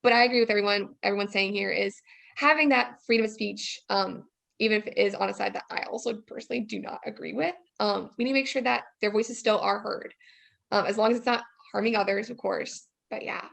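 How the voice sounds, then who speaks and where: Female guest in her twenties, medium pitch with gravelly fry, informal, diplomatic and a bit tentative. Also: fairly quick, a bit bright. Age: 20s. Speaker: student teacher guest. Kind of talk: casual discussion.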